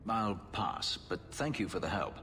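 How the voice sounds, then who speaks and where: An actor playing a brooding, guarded fugitive warrior in a video game, speaking deliberately with dry wit. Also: male voice, sits low, sing-song, noisy background. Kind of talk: video game cutscene acting. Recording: long echoing hall, music behind.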